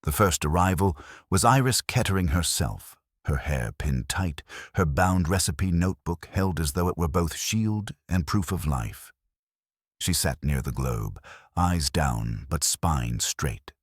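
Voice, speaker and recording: A man narrating a detective mystery as a scripted audiobook, in a measured, literary delivery. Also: very deep voice, lively intonation, husky, no echo, clean studio sound.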